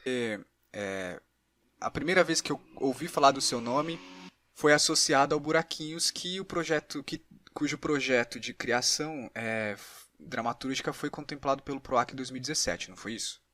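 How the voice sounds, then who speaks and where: A man's voice: medium pitch, normal speed, sharp tone, no room sound. Brazilian male podcast host, dry close sound. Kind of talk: casual conversational interviewing.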